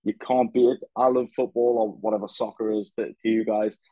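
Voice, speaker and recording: A man's voice: on the low side, flat delivery, brisk, no room sound, dull audio. A young man chatting casually about fights over a video call, laid-back and peppering his talk with "yeah, man". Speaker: podcast host. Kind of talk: casual fight-recap chat.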